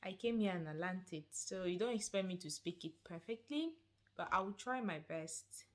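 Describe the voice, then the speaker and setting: A Nigerian woman in her thirties talks straight to camera with a somewhat low voice. She is animated and encouraging, and she repeats her points for emphasis in a casual vlog manner. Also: normal speed. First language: not Swedish. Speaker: vlogger.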